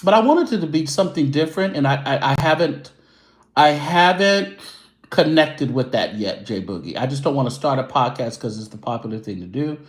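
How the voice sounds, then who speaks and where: A man's voice: medium pitch, lively intonation, a little fast, reverberant. A man hosting his own show, chatting loosely and casually with his viewers. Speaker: show host. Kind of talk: casual livestream chat.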